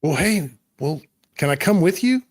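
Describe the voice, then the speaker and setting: A man's voice: a little high, expressive, normal speed, voice pushed up. A man voicing an eager, fawning young ghoul henchman with a slangy, wheedling manner in a roleplay game. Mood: eager, pleading.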